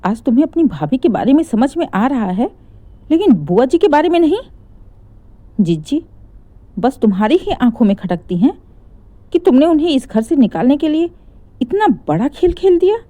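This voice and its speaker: A woman's voice: high voice, sing-song, moderate pace, pauses often, mellow, no room sound, pitched above the performer's own voice. A woman voicing a stern, sarcastic middle-aged mother-in-law in a story, scolding with pointed rhetorical questions.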